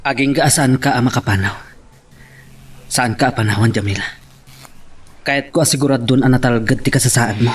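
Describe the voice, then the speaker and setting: A man's voice: mid-range voice, brisk, pauses often, slightly rough, close to an everyday voice. A man playing a worried, protective young lover in a romance drama, with an Ilocano accent. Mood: concerned. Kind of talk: radio-style romance drama acting.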